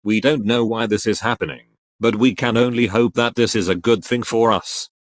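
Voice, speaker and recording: A man playing a formal TV news anchor, reading a scripted bulletin in a measured, earnest way. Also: fairly low pitch, steady tone, heavy background noise, lightly acted. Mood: earnest reporting.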